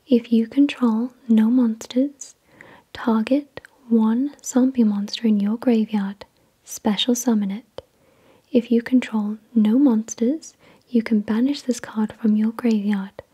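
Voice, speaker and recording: A woman whispering softly and breathily in ASMR style, reading aloud in a deliberate, measured way. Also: a little high, slightly rough. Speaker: ASMR video creator and host. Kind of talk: soft-spoken ASMR, reading card text aloud.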